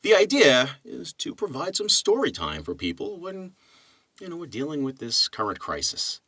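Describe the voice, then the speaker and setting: A man with a bright, forceful voice and a husky grain speaks as a narrator of poetry. His phrasing is deliberate and dramatic, with unhurried pauses. The sound is close and dry. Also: fairly high pitch, wildly swooping pitch, dry recording. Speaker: narrator and literature teacher. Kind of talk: poetry reading with casual introduction.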